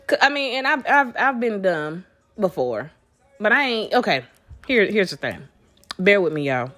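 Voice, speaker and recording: A woman's voice: fairly low pitch, a bit bright. A woman hosting a casual solo podcast, talking bluntly with lots of swearing, restarts and a stuffy, congested nose. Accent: Southern US. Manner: blunt, profane, rambling with restarts. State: congested from allergies. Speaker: podcast host.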